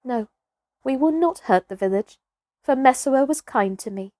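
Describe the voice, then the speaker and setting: A woman's voice: sits high, animated, moderate pace, mellow, no room sound, voice pushed up. A woman voicing a bold, scornful young herdsboy raised by wolves, with archaic, commanding speech in a storybook reading. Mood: resolved.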